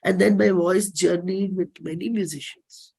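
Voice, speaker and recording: A performer with an Indian accent giving a dramatic reading of a personal play script, deliberate, with pauses and repeated phrases. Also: medium pitch, steady tone, vocal fry, a little mellow, slightly rough. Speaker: performer and singer.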